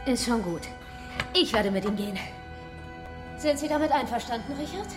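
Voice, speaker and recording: A woman acts out a bold, ruthless survivor in a German radio drama. Her high voice is teasing and mock-sweet with a sardonic bite. Music plays clearly underneath. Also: expressive, moderate pace, natural voice. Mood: cool, sardonic.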